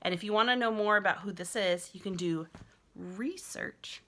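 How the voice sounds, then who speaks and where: A woman's voice: mid-range voice, normal speed, a bit bright. A woman reading a children's story aloud as a school librarian, expressively and with small asides.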